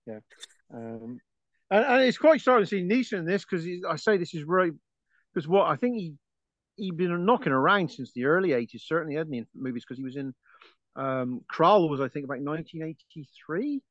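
A man's voice: on the high side, lively intonation, a little fast, no room sound. A man co-hosting a casual film podcast who laughs often and trails off into fillers. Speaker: podcast co-host. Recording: dry, dull lo-fi sound.

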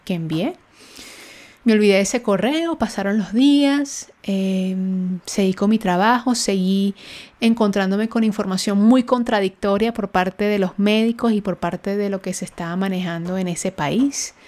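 A woman's voice: mid-range voice, a little mellow. A woman hosting a podcast, telling a personal story in a conversational, reflective way, sometimes searching for words. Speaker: podcast host.

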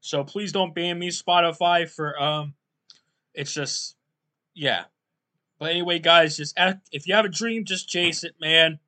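A man hosting his own podcast in a casual, rambling solo talk, his voice going hoarse and often clearing his throat. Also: fairly high pitch, fairly even intonation, measured pace, sharp tone. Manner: rambling, loose and self-deprecating, many fillers. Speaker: podcast host.

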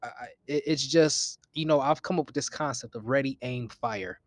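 A man's voice: mid-range voice, normal speed, no room sound, clean studio sound. A man around twenty co-hosting a podcast, talking earnestly about goals and chasing dreams, with frequent ums. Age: 20s. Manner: earnest, motivational, hesitant fillers. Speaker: podcast co-host. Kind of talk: casual podcast chat.

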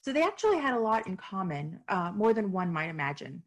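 A woman's voice: mid-range voice, sing-song, a little fast. A woman from Miami, an author and activist, answering interview questions in a reflective way. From Miami, United States. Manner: reflective, with some hesitations and restarts.

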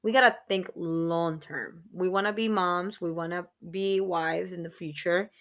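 A woman's voice: lowish voice, fairly even intonation, unhurried. A woman in her thirties co-hosting a casual podcast chat, earnest and reflective, talking in long run-on thoughts. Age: 30s. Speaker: podcast co-host.

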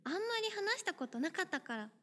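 A woman's voice: high-pitched, very animated, quick pace, bright, forceful tone, exaggerated voice. A woman voicing a cheerful, friendly teenage schoolgirl who talks in a breezy, teasing way, in an audio drama. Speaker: voice actor.

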